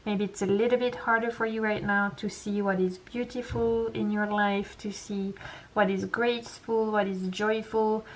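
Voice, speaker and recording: Gentle French-accented woman reading tarot. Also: mid-range voice, little pitch movement, moderate pace, slight background noise, low-quality recording. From France. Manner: gentle, reassuring, chatty with fillers. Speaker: tarot reader. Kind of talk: pick-a-pile tarot reading to camera.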